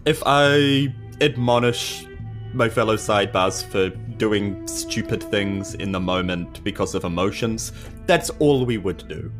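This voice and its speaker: A man voicing an earnest, protective adventurer in a tabletop fantasy game, halting and droll. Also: fairly low pitch, faint music behind, pitched below the performer's own voice, natural voice.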